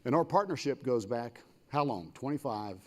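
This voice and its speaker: A man's voice: medium pitch, animated, normal speed, darkish tone. A man with an American accent speaking as a university leader on a public panel, semi-formal and enthusiastic, in short punchy phrases.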